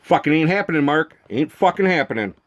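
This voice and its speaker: Exasperated, higher-pitched man on a rant. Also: animated, fairly quick, a bit bright. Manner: exasperated, profane, repeats himself with rhetorical questions. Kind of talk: accusatory rant to camera addressing an absent person.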